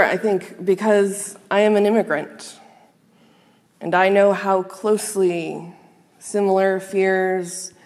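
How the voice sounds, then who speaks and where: Earnest woman preaching in a reverberant hall. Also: mid-range voice. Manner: measured, earnest, reflective with pauses. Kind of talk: sermon. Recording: long reverb of a large hall.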